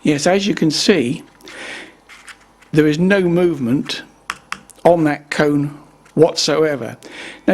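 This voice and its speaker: A man with a slightly high-set voice that has a husky, rough grain. He talks slowly and methodically, explaining step by step. It sounds like an unscripted hands-on demonstration by a hobby repairer. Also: a little mellow. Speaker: presenter of a hobby repair video.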